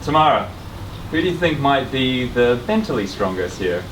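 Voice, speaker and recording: Man hosting amid clear background noise. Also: medium pitch, very animated, moderate pace. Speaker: reality competition co-host. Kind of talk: bantering contestant interviews. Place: outdoors in a backyard. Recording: noisy outdoor ambience.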